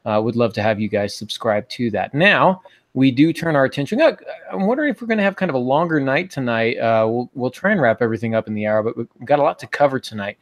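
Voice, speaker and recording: A man's voice: medium pitch, expressive, fast talker, no room sound. A man in his forties hosting a podcast about writing, talking conversationally in an easygoing, lightly joking way. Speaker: podcast host and writing teacher. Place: small home office, indoors.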